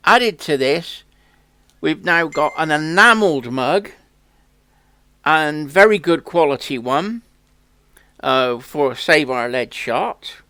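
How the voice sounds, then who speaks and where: A man's voice: a little high, lively intonation, takes it slowly, pauses often, pushed and bright. A man hosting a country sports channel, making an earnest, plain-spoken campaign appeal to viewers. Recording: echoey room.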